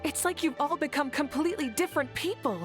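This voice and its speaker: A woman's voice: high voice, fast, natural voice. A woman voicing a poised, earnest leader in an anime-style game, with music behind her. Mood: grave wonder.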